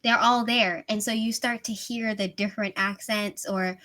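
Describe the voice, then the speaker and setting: A woman's voice: mid-range voice, fairly even intonation, normal speed, sharp tone. A woman tutoring over a video call in a casual, chatty way, laughing often and filling with "like" and "you know". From Miami, USA. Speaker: English tutor.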